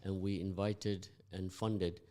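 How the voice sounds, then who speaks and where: A man hosting a community radio breakfast show, chatting in a rambling, halting way with many fillers. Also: low-pitched, little pitch movement, mellow. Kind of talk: casual radio chat.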